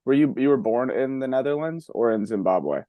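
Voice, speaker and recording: A man's voice: medium pitch, lively intonation, normal speed, no room sound, muffled recording. A middle-aged man hosting an interview, asking friendly questions with many false starts. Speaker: podcast host. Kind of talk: casual interview.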